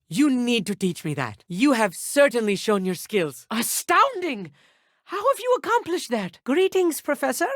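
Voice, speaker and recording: A man's voice: sits high, fairly bright tone, no room sound, clean studio sound, full character voice. A young man voicing an eager, bookish teenage schoolboy wizard in a video game, articulate, formal and slightly pompous, nervous but boastful. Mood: bookish, chatty, then excited, urgent. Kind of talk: video game character dialogue.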